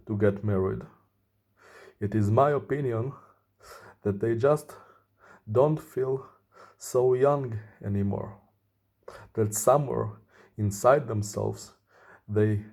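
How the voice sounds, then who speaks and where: A man in his thirties speaking earnestly in a slow, deliberate personal monologue to camera. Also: on the low side, vocal fry, darkish tone. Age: 30s. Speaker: vlogger.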